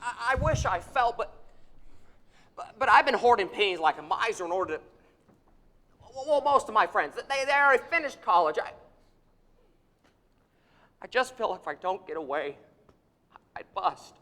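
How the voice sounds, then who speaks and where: Brief: young man as high, bright, stammering dreamer, 1940s radio style, hall reverb. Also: animated, normal speed, pauses often. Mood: eager. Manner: stammering, eager, nervous restarts. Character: restless young man eager to leave town. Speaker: stage actor in a live radio play. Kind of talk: 1940s radio play acting, live on stage. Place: theater stage, live audience. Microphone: standing stage mic. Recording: long hall reverb of a theater.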